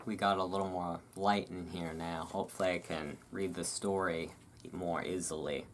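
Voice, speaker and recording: A host giving a relaxing ASMR reading of a historical article, with relaxed, informal delivery. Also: deep voice, normal speed, slight background noise.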